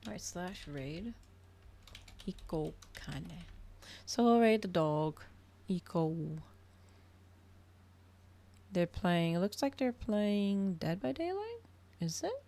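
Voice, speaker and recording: A woman's voice: lowish voice, big pitch swings, takes it slowly, pauses often, mellow. A woman livestreamer chatting casually to her viewers, rambling, trailing off and thinking aloud. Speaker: livestreamer hosting an ASMR and gaming channel.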